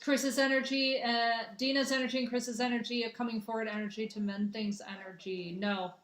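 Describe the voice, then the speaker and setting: A woman's voice: on the high side, little pitch movement, brisk, fairly bright tone, faint music behind. Chatty young woman, echoey room. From American Midwest. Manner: chatty and rambling, with many restarts and fillers. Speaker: livestream host doing spiritual readings. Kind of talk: casual livestream chat greeting viewers. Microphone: phone or webcam.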